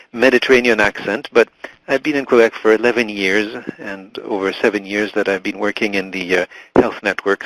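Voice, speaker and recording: Low-voiced man interpreting with constant hesitations. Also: fairly bright tone, no room sound, poor audio. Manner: halting, filler-heavy, restarts while interpreting. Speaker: simultaneous interpreter. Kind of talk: live interpretation in a webinar.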